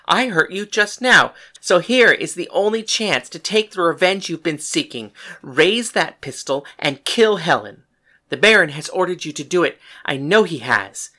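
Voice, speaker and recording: A woman's voice: rumbling low, a bit bright. A woman playing a fierce, commanding female gunslinger leader in a dramatized western audiobook, protective and defiant, with emphatic challenges.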